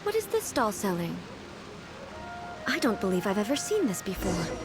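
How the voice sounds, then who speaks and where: A woman's voice: mid-range voice, sing-song, moderate pace, close to an everyday voice. A woman voicing a regal, composed ruler in a video game, formal and stately yet naively curious. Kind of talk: video game character acting. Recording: music behind the voice.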